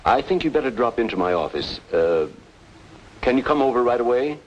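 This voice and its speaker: An actor playing a grave, formal doctor, deliberate and insistent, in an old-time radio noir drama. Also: male voice, medium pitch, big pitch swings. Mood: courteous, urgent. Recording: dull, noisy old radio recording.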